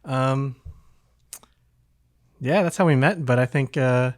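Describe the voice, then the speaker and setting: A man's voice: medium pitch, takes it slowly, dry recording, studio quality. A man hosting a podcast in a casual, conversational way. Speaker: podcast host.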